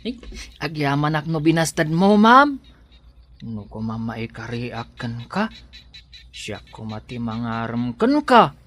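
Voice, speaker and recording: A man's voice: mid-range voice, sing-song, slow, long pauses, fairly bright tone, exaggerated voice. An actor with a Filipino accent playing a humble, devoted young suitor pleading his love in a radio drama. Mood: anxious, relieved. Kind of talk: Ilocano radio drama acting.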